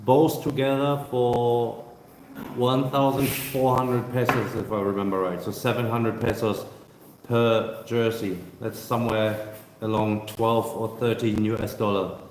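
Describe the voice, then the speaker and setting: Brief: thirties male travel vlogger, relaxed hesitant live Q&A on a phone, roomy echo, faint background ambience. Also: medium pitch, measured pace, background noise. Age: 30s. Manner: relaxed, hesitant with many fillers and restarts. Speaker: travel vlogger answering viewer questions. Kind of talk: casual live stream Q&A. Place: busy hostel co-working space. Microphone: phone camera.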